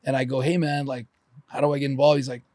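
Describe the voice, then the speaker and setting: Dude hosting a podcast, loose and rambling, swears a bit, super dry sound. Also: male voice, medium pitch, level tone, fast talker, a little mellow, dry recording. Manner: rambling questions, swears casually. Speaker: podcast host. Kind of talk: casual interview chat.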